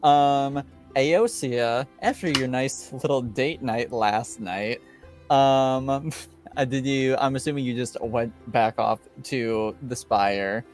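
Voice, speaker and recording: A relaxed man serving as game master at a tabletop game. He speaks at an ordinary middle pitch, filling gaps with plenty of casual ums and uhs. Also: slow, faint music behind. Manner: relaxed, easygoing, many fillers.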